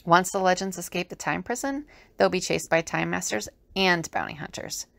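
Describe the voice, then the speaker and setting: Creaky, sarcastic, irritable woman played by an actress. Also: lowish voice, normal speed, fairly bright tone, no echo. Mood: worried, weary. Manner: dry, exasperated. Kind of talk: audio drama acting.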